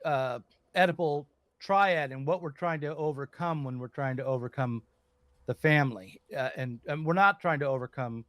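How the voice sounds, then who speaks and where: Male host, halting and searching for words, dry close sound. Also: fairly high pitch, a bit bright, no echo. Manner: searching for words, many fillers. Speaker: podcast host. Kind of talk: podcast discussion.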